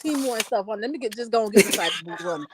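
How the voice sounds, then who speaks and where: A woman co-hosting a casual chat, animated and sprinkling in honey and child. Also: mid-range voice, racing, over a noisy crowd. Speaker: co-host.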